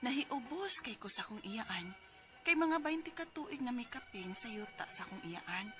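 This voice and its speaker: A woman's voice: a little high, big pitch swings, moderate pace. An actress playing a hurt, pleading middle-aged niece in a Cebuano radio drama, telling her troubles earnestly. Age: 40s. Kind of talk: radio drama acting and letter narration. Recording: music behind the voice.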